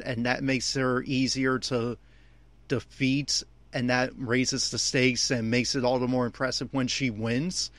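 A man's voice: medium pitch, fairly bright tone, no room sound. Exasperated man giving commentary, close dry sound. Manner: exasperated, complaining. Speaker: fan podcast host. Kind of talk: opinionated anime episode commentary.

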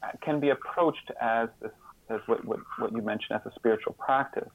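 A man speaking as an interview guest, explaining in a halting way, repeating words and stressing key terms. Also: medium pitch, creaky, no echo, highs cut off. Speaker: interview guest, author and sociologist.

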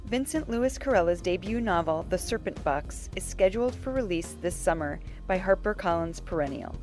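A woman's voice: lowish voice, animated, normal speed, darkish tone, soft background music. A woman reading scripted announcements as a podcast host.

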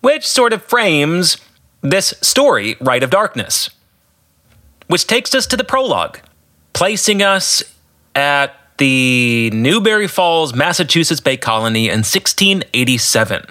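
Animated, bright-voiced American male podcaster. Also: fairly high pitch, a little slow. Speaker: author and podcast host. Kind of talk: explanatory solo podcast commentary.

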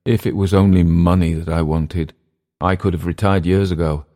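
A low-voiced, world-weary jungle settler, played by a male narrator. He is domineering and mocking, leaning on his words with scornful, teasing weight, as in an audiobook adventure. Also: fairly even intonation, normal speed, warm tone, lightly acted. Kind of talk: audiobook character dialogue.

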